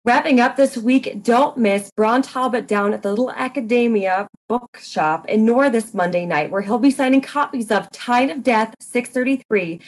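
A woman's voice: fairly high pitch, normal speed, few pauses, lightly acted. Gushing, self-important woman radio co-host played by an actress. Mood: earnest. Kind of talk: audio fiction comedy as radio broadcast.

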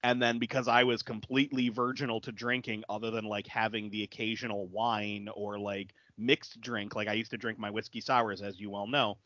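A man in his thirties co-hosting a podcast, telling an animated anecdote with quick asides. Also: fairly low pitch, no room sound. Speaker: podcast co-host. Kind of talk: casual chat. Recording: dry, dull lo-fi audio.

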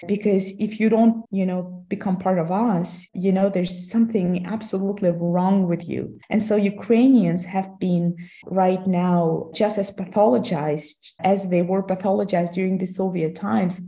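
A woman from Kazakhstan whose first language is Russian, a history lecturer explaining her points in measured English with frequent "you know" fillers. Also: fairly low pitch, steady tone, mellow. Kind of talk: thoughtful academic explanation.

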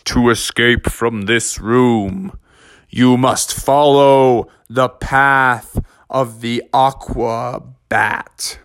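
A man voicing a mysterious disembodied cave voice that speaks in slow, solemn riddles. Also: mid-range voice, steady tone, a bit bright, smooth, no echo, pitched below the performer's own voice.

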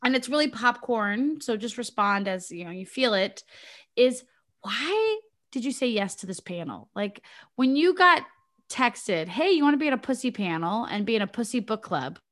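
Bright upbeat woman in her forties. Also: on the high side, very animated, normal speed. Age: 40s. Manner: laughing, upbeat, chatty. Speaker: podcast host. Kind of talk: casual chat.

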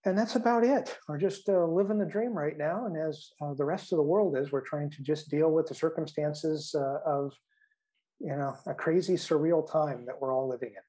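A man's voice: on the high side, normal speed, warm tone. Middle-aged American man, casual podcast guest. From American Midwest. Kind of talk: casual interview talk.

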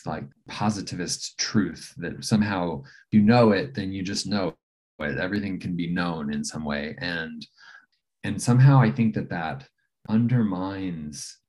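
A man's voice: low voice, a little mellow, breathy edge. A middle-aged man, a palliative care physician, talking thoughtfully in an interview, with many fillers and restarts. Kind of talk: reflective interview talk.

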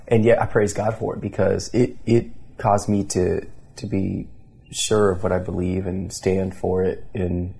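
A man in his thirties from Indiana speaks as a pastor being interviewed. His voice sits low with a gravelly edge and a husky, mellow tone. He talks earnestly, restarting his sentences often. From Indiana, USA. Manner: earnest, many restarts and fillers. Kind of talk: conversational interview storytelling.

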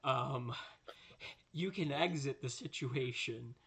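A man's voice: mid-range voice, takes it slowly, gravelly, fairly bright tone, husky. A man hosting a podcast, asking easygoing questions with lots of fillers. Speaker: podcast host. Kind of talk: casual chat.

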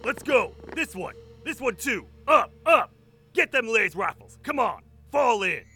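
Gravelly, high-pitched commanding officer played by a man. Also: sing-song, measured pace, sharp tone, slight background noise, heavily acted. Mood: urgent, barking. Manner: curt, authoritative. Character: gruff, commanding military officer barking orders. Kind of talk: sci-fi war audio drama acting.

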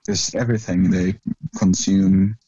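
Man, Norwegian accent, deep mellow voice, halting casual chat, dull lo-fi sound. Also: flat delivery, slightly rough, no echo. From Norway. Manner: rambling, halting with fillers. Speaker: guest singer-songwriter. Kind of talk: casual podcast conversation.

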